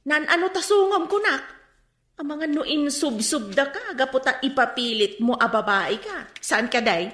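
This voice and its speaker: High-pitched gossipy shopkeeper woman. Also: lively intonation, normal speed, fairly bright tone. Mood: teasing. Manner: teasing, gossipy. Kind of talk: radio drama acting. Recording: echoey room sound.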